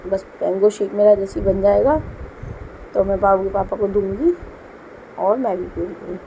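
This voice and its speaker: A woman's voice: medium pitch, steady tone, normal speed, mellow, muffled recording, slight background noise. A young woman, a homemaker, narrating her kitchen routine in a chatty, explaining way, with a sore throat and a cough. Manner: chatty, explains as she works. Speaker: homemaker vlogger. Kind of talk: casual voice-over narration. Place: home kitchen.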